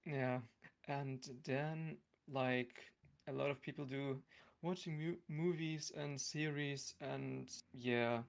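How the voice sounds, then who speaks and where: A young man chatting casually as a podcast guest, in a hesitant, halting manner. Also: mid-range voice, steady tone, vocal fry, clear, resonant tone, highs cut off. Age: young adult. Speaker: podcast guest, university student. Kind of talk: casual chat.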